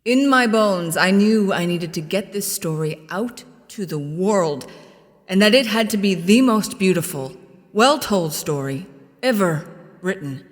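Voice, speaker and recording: A woman's voice: medium pitch, fairly bright tone. Woman as a grandiose storyteller in an echoey hall. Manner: florid, solemn, dramatic pauses. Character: grandiose, tormented storyteller of a legendary tale. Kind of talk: theatrical scripted narration. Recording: echoey hall with faint music.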